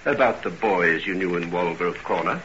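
An actor playing a polite, inquisitive middle-aged gentleman, formal and probing, in old radio drama style. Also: low voice, moderate pace. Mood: keenly curious. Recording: muffled noisy old radio recording.

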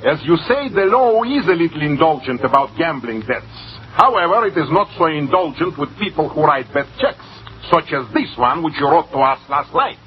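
An actor playing a crafty, easily indignant cafe cashier in old-time radio comedy, theatrical and precise. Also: male voice, fairly high pitch, lively intonation, normal speed, few pauses, lightly acted. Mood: sly, smug. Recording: muffled, hissy old radio recording.

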